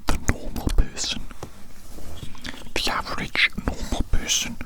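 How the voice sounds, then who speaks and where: A person whispering very close to the mic in a breathy, unvoiced ASMR roleplay ramble, softly repeating words and phrases. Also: a little slow. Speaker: ASMR artist playing an alien who draws on the listener's face. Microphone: very close microphone.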